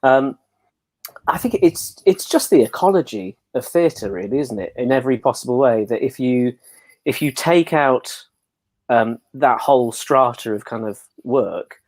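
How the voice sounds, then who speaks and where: A man speaking as a panel guest in a thoughtful, articulate and measured way, reflecting as he goes. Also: medium pitch, animated, a little mellow, dry recording. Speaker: theatre producer, panel guest. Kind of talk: thoughtful discussion.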